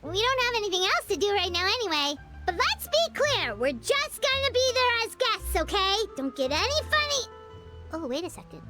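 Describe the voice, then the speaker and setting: A woman's voice: extremely high pitch, big pitch swings, normal speed. A woman voicing a small, bubbly, food-loving female companion creature who talks about herself by name, heard as game audio over music. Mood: playful.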